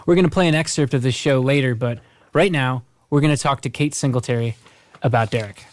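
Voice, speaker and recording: A man co-hosting a radio show in a conversational, measured way, with hesitations and repeated words. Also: medium pitch.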